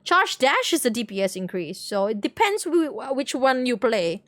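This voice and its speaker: A woman with a fairly high voice streams in a casual, chatty style. She rambles in gamer slang and often doubles back on her phrases. The sound is close and fairly dry. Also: sing-song, moderate pace, fairly bright tone, no echo. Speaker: game livestreamer. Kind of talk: casual stream chat with viewers.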